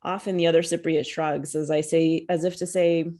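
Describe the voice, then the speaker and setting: A woman author reading her own personal essay aloud, at a measured and reflective pace, over a video call. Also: deep voice, little pitch movement, warm tone. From United States.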